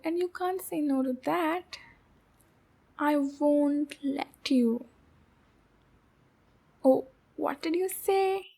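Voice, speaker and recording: A woman plays a possessive demon girl in an intimate ASMR roleplay. Her voice is high and slightly creaky, and she speaks slowly. She coos to the listener with clingy sweetness and an undertone of threat. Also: a little mellow. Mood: possessive, menacing.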